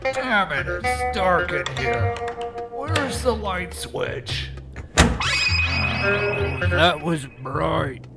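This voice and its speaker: Guy doing a irritable robber thug, slow gravelly voice, bit high, music underneath. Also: lively intonation, exaggerated voice. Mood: irritated muttering. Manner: muttering, irritable. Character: grumpy, blustering male armed robber thug. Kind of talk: audio drama acting.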